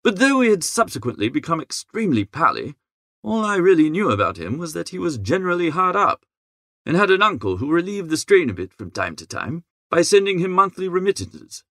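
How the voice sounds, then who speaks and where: A man acts out a breezy young idle gentleman narrating his own storybook tale. The voice is fairly high and swoops in a very lively way, chattering in jaunty old-fashioned slang. The recording is close and dry. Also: fairly quick, no echo. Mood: breezy, chatty. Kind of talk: scripted first-person audiobook narration.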